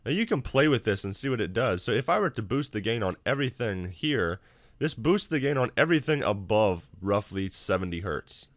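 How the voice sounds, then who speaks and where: A man with a fairly low voice explains things casually, as in a homemade screen tutorial. He is informal and self-deprecating, recorded close and dry in a home office. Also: big pitch swings, fairly quick, no room sound. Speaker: tutorial host.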